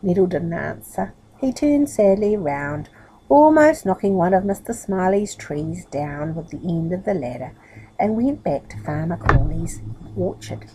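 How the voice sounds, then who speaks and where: A woman's voice: fairly low pitch, very animated, measured pace, darkish tone, slight background noise, rough recording. A woman reading a children's story aloud expressively, with chatty asides to a young listener. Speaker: storybook reader.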